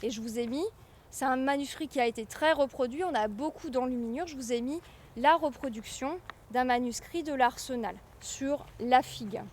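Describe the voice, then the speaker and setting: A woman giving an informal public lecture, explaining things with hesitant pauses and repeated words. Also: high-pitched, slight background noise. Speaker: guest lecturer, medieval historian.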